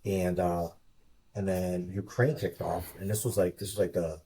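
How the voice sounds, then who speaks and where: Guest: man, 50s, deep and dark-toned, relaxed, restarts his phrases, heard over a video call. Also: moderate pace, poor audio. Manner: relaxed, repeats words while thinking. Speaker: interview guest, retired Army officer. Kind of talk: casual interview over a call.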